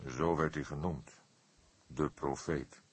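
Audiobook part, voiced by a man: elderly male detective, wry and ponderous, deep husky voice, politely modest. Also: fairly even intonation, exaggerated voice. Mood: pensive, wry. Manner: slow, deliberate, drily modest. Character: old grey-haired male police detective, wry, courteous, ponderous. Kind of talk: Dutch audiobook character voice.

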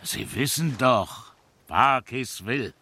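An actor playing a simple, bashful carter with a halting, ponderous way of talking in a radio drama. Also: male voice, medium pitch, animated, bright, forceful tone, heavily acted. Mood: solemn, conspiratorial. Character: slow, awkward, simple carter, bashful suitor. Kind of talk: German radio drama acting.

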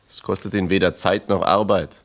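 Radio play role: East German factory comrade, deep register, earnest, plain-spoken, persistent. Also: male voice, little pitch movement, moderate pace, vocal fry. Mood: questioning, insistent.

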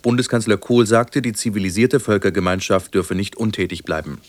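A man with a standard German accent from Germany reads a formal radio news bulletin in a measured, matter-of-fact way. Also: fairly low pitch, steady tone. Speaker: radio newsreader.

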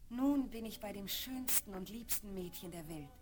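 A woman's voice: medium pitch, moderate pace. A woman giving scripted storybook narration for a children's fairy-tale audio play. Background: music.

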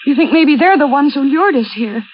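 An anxious young sister in a 1940s radio thriller. Her voice sits high, urgent and full of suspicion. The recording is dull, with clear hiss. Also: lively intonation, fairly quick. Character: jittery, suspicious young sister stranded at night.

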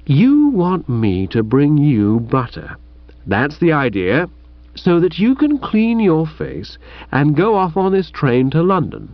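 A man's voice: fairly high pitch, sing-song, takes it slowly, no echo, heavily acted. A man voicing an indignant upper-class young Englishman who huffs and declaims in moral outrage. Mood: righteously indignant. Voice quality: huffy, declamatory, snorting. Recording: dull muffled recording.

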